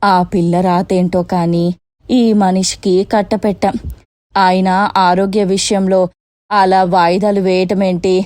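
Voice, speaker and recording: A woman's voice: mid-range voice, level tone, a little slow, a little mellow, no room sound, clean studio sound, lightly acted. A woman voicing a blunt but caring older female relative in a cartoon moral story, grumbling in colloquial speech. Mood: indignant.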